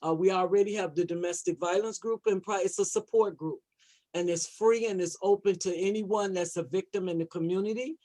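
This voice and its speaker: A woman's voice: mid-range voice, a little fast, mellow. A plain-spoken woman advocate giving informal remarks at an online panel, repeating points for emphasis. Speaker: support group advocate, panelist.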